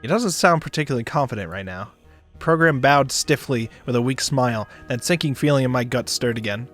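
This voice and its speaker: Expressive man reading a story aloud over music. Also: mid-range voice, a little fast. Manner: expressive, stumbles and rereads lines. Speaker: streamer reading a visual novel aloud. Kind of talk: dramatic narration reading with joking asides. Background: music behind the voice.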